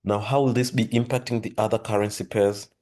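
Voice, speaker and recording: A man hosting a trading lesson, talking steadily through charts with a hoarse voice and frequent throat-clearing. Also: on the low side, a little fast. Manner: steady explanatory, repeats "with that being said". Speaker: forex trading channel host. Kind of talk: screen-share trading lesson.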